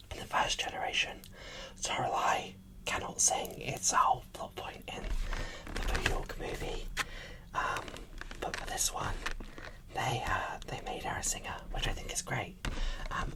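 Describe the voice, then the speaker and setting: A British ASMR creator giving a casual, chatty unboxing commentary in a soft whisper. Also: low voice, rough recording.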